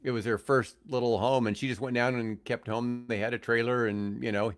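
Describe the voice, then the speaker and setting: An American man in his sixties in casual conversation as a guest. He tells stories in an ordinary mid-pitched voice, with a wry, joking way of poking fun at himself. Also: fairly even intonation, a little fast. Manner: wry, self-mocking, joking. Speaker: podcast guest, veteran radio host and guitarist. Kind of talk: casual storytelling chat.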